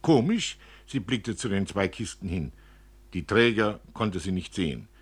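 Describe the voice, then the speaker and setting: A man giving an expressive reading of literary prose, as an author reading from his own novel. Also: low-pitched, a little slow, slightly rough.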